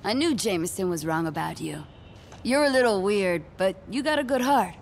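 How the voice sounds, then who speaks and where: A woman's voice: medium pitch, expressive, moderate pace, creaky, slight background noise. An actress playing a proud, guarded, streetwise woman in a video game, blunt. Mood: grateful. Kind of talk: cinematic video game acting.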